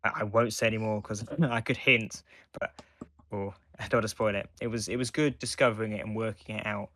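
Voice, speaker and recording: Guy on a fan podcast, bright voice but on the low side, kinda halting, casual review chat. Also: steady tone. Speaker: podcast co-host.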